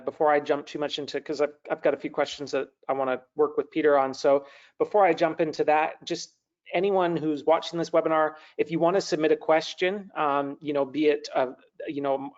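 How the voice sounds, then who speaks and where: Upbeat male webinar host, 30s, a bit high-pitched, rambling, muffled call audio. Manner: upbeat, rambling with many restarts. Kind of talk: webinar hosting. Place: home room with brick wall, indoors. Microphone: video call, laptop mic. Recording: dull lo-fi video call audio.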